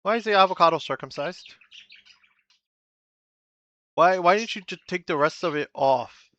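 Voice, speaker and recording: Man, reaction host, blunt, crude, repeats phrases, forceful bright voice, dry slightly dull recording. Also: high voice, moderate pace. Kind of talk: casual reaction commentary.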